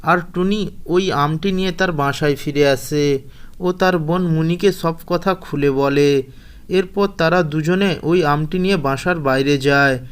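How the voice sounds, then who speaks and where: A man's voice: fairly high pitch, smooth. A man narrating a scripted children's bedtime story as the storyteller of a cartoon.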